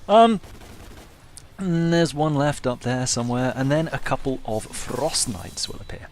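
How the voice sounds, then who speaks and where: A man's voice: mid-range voice, animated, a little slow. A man giving casual gameplay commentary as a video host, wry, understated and self-deprecating.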